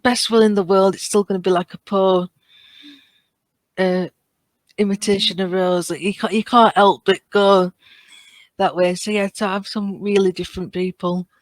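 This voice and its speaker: A British woman in casual fan chat as a podcast co-host, halting as she thinks aloud. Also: mid-range voice, flat delivery, dry recording.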